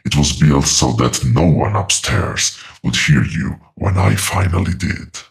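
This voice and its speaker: A man playing an ancient, patient demonic entity in a horror audio drama, speaking with calm, mocking, deliberate menace. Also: very deep voice, gravelly, exaggerated voice.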